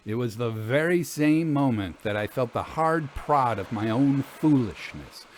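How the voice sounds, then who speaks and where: A man reading scripted literary narration as a storyteller, with formal, measured phrasing. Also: medium pitch, expressive, slight background noise.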